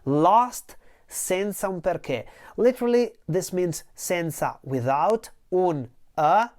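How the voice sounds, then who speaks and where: A woman's voice: lowish voice, animated, slow pace, darkish tone. A woman teaching Italian, translating a song line by line and patiently repeating each word with its meaning.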